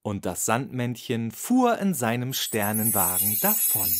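A man's voice: medium pitch, sing-song, unhurried. A man narrating a scripted bedtime story for children. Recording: clearly noisy background.

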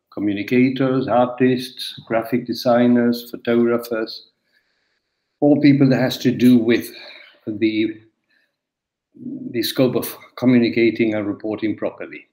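Older man from Argentina, webinar moderator, halting with fillers, middle pitch, echoey video call. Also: steady tone, darkish tone. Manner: halting, many fillers and restarts. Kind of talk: opening remarks on a video call. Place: room at home. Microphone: laptop webcam on a video call. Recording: roomy video call audio.

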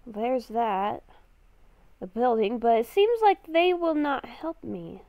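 Woman, lively swooping intonation, hesitant game roleplay commentary, sarcastic asides, dull lo-fi sound. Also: a little high, takes it slowly, no room sound. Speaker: gamer playing a roleplay series.

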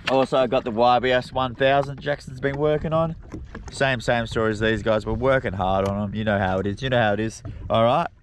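A young Australian man vlogging from a jet ski at sea, talking with excited, slangy enthusiasm straight to his viewers through an action camera held at arm's length. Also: mid-range voice, a little fast. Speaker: spearfishing vlogger. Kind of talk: excited vlog talk to camera. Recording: wind and water noise outdoors.

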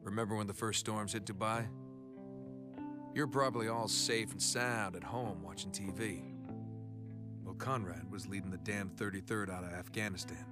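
A man acts out a weathered American special forces captain for a military video game. His voice is on the low side, gruff and commanding with dry sarcasm, and music plays behind him. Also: steady tone, moderate pace, natural voice. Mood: reflective, grave. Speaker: army captain.

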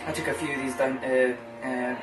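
Young aberdeen lad, cheeky laid back chat, echoey room, mic a bit far, music playing. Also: male voice, mid-range voice, level tone, fairly quick. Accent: Scottish, Aberdeen. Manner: easygoing, laughs often, cheeky banter. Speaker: co-host. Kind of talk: casual chat. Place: home bar room, indoors. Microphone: camera mic at table distance. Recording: roomy, distant camera mic, music.

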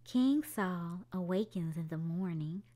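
A woman's voice: lowish voice, lively intonation, a little slow, dark tone. A woman hosting a children's storytime, reading a picture book aloud expressively to young children, with music behind her.

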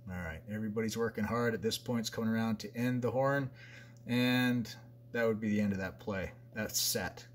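A man's voice: fairly low pitch, moderate pace, a little hiss or hum. Man talking through a basketball drill. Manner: plain, conversational, with many fillers and restarts. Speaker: basketball coach. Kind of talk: explaining a drill over a whiteboard diagram.

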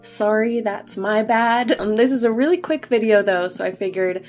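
A woman's voice: medium pitch, normal speed, a little mellow. A woman who is a bit sick, narrating a game build video in a casual, chatty, self-deprecating way. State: sick, voice slightly off. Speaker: gaming video creator, narrator. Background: background music under voice-over.

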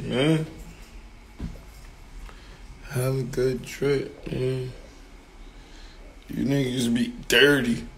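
A hoarse young man on a phone livestream, rapping over a beat and then chatting loosely with a slurred, laid-back delivery. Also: mid-range voice, a little hiss or hum. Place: home studio and house, indoors. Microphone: phone held at arm's length.

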